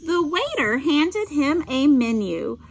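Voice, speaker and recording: Middle-aged female storyteller for children; very lively intonation, unhurried, explains things simply. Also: high voice. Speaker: special education teacher reading aloud. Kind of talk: picture-book read-aloud with asides to children.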